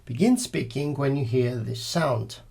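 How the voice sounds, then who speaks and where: A man's voice: medium pitch, unhurried, creaky, noisy background. A man reading formal, scripted speaking-test instructions and questions as an examiner.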